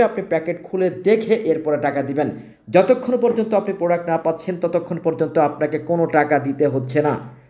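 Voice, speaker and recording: A Bangladeshi man delivers an informal sales pitch as a shop presenter. His voice sits a little high for a man, with a very relaxed, mellow tone. He repeats key phrases as he goes. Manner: repetitive, restates phrases. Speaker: shop presenter selling products.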